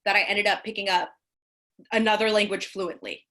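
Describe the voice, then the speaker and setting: A woman's voice: mid-range voice, fairly even intonation, normal speed, fairly bright tone. A woman born in the Philippines chatting casually on a video call, light and self-deprecating. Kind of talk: casual chat.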